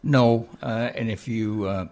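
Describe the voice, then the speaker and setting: A man in his seventies from the Philippines, with a Philippine accent, speaking haltingly as an interview guest. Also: lowish voice, fairly even intonation, a little mellow. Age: 70s. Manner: hesitant, halting with many pauses. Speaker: interview guest, retired army colonel. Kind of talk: conversational interview.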